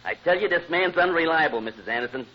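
A man's voice: fairly high pitch, expressive, fast, breathy edge. A man playing a smug, meddling divorce lawyer with blustering, theatrical delivery in an old radio comedy. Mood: pushy. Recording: dull, noisy old radio recording.

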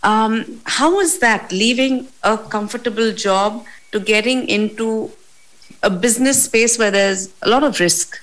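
A woman's voice: fairly high pitch, a little slow, fairly bright tone, poor audio. A woman hosting a weekly interview show. She opens with an upbeat, polished welcome. Then she leads the guest through questions in a friendly tone. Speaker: podcast host.

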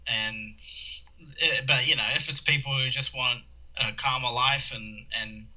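A man's voice: mid-range voice, steady tone, a little slow, pushed and bright, poor audio. A man in his thirties from New Zealand with a New Zealand accent, chatting casually with lots of fillers and restarts. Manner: rambling with many fillers and restarts, laughs easily. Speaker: guest. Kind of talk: casual conversation.

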